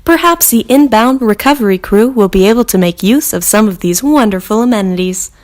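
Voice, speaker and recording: A woman's voice: on the high side, expressive, brisk, close to an everyday voice. A woman voicing a polite, cheerful spaceship computer making courteous, unbothered announcements in a sci-fi monologue. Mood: cheery. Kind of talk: scripted sci-fi character monologue.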